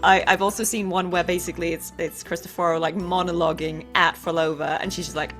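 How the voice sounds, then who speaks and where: A woman's voice: fairly low pitch, little pitch movement, fast, fairly bright tone, faint music behind. A woman hosting a casual interview, chatting informally as she asks her questions. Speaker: interview show host.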